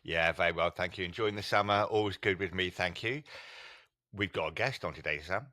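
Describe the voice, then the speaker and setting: A man co-hosting a podcast in a friendly, jokey manner. His voice is on the low side but bright and forward. The sound is dry and close, with no room around it. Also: fairly quick, no echo. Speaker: podcast co-host. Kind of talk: casual podcast chat.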